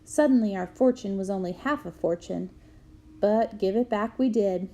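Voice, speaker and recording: A woman reading a memoir aloud to students in a clear storytelling manner. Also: mid-range voice, normal speed. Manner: clear storytelling, bursts into loud shouts for quoted yells.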